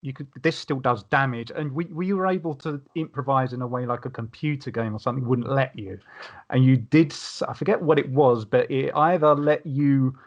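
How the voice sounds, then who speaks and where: A man's voice: medium pitch, normal speed, lo-fi sound. A man in casual panel discussion, talking wordily and often restarting his sentences. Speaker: podcast panelist.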